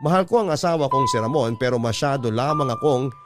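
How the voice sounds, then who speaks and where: A man's voice: medium pitch, expressive, fairly quick, close to an everyday voice. A man narrating a heartfelt letter of heartbreak and guilt in classic Filipino radio drama style, reflective and sorrowful. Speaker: radio show narrator reading a listener's letter. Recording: clear music bed behind narration.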